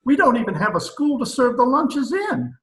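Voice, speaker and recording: A man's voice: extremely high pitch, wildly swooping pitch, normal speed, fairly bright tone, reverberant, exaggerated voice. A man playing a pompous, blustering dictator president in an old-time radio comedy recorded over a video call. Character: pompous, excitable dictator president of a banana republic.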